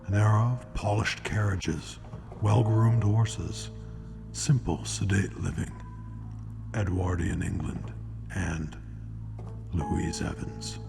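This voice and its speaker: Casting: male actor as wry, ominous host of a radio murder anthology, deep and creaky, theatrical pacing. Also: steady tone, over music, heavily acted. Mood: grim, ominous. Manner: slow dramatic pauses, ominous, wry. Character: ominous, sardonic male narrator of true-crime murder tales. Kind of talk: old-time radio mystery narration.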